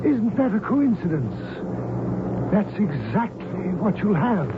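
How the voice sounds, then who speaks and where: An actor playing a coolly polite, commanding hijacker reverend in a radio drama, speaking in a formal, measured, self-assured way. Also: male voice, high-pitched, big pitch swings, heavily acted. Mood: smug reassurance. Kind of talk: old-time radio drama acting. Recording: noisy, tinny old radio recording.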